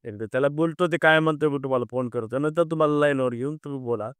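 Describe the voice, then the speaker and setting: A man's voice: fairly high pitch, fast, a bit bright, clean tone, dry recording. A man, mediating informally on a recorded phone call in a placating, noncommittal way. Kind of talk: informal private phone conversation.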